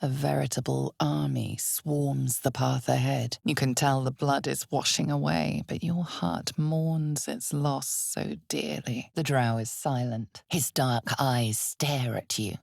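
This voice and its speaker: An actress playing an ominous, knowing female narrator of a dark fantasy game, husky and breathy, speaking in a measured, dramatic way that often sinks toward a whisper. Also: rumbling low, steady tone, no echo, studio quality, exaggerated voice. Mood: grave, ominous.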